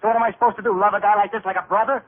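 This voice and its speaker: An actor playing a hot-tempered police detective, defensive and clipped, in an old-time radio drama. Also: male voice, sits high, fairly even intonation, very fast, phone-quality sound. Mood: indignant. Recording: phone-thin vintage radio recording.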